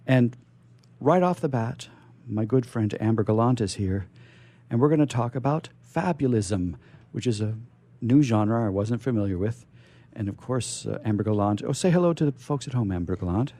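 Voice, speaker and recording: A male radio host with a soft, mellow tone and a very lively, swooping pitch. He chats playfully and a little theatrically, often halting and doubling back on his words as he interviews. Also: no echo. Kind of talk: live radio interview, chatty. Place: radio station studio.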